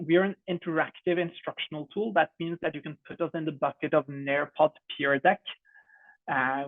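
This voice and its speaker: A man's voice: a little high, dry recording. A young man with a Norwegian accent, a startup co-founder giving a friendly, chatty product demo over a video call, with many fillers. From Norway. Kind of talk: online demo presentation. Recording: dry, phone-like video call audio.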